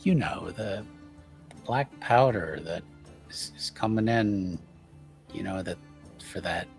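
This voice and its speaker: A man's voice: sits low, animated, slow, gravelly, faint music behind, voice pushed down, exaggerated voice. A man playing a sly, fumbling schemer in a tabletop game, speaking hesitantly and conspiratorially. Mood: hushed, feigned confusion. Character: sly, fumbling man spreading rumours, feigning innocence.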